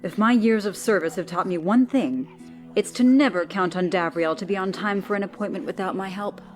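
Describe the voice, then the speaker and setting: A man voicing a brisk, long-suffering woman steward in a fantasy audiobook, dry and exasperated. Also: high voice, faint music behind, voice pushed up, natural voice. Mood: wry.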